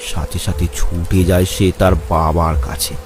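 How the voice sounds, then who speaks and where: A man's voice: deep voice, flat delivery, a little slow. A man narrating a cartoon story in a scripted, storytelling style. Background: music.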